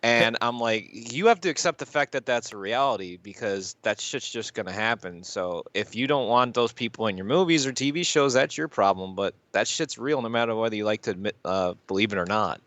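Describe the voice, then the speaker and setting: A man's voice: on the low side, expressive, a little fast, hardly any pauses, fairly bright tone, no room sound. A man co-hosting a podcast, reacting to news in casual chat with a blunt, profane manner. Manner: blunt, profane, exasperated interjections. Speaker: podcast co-host.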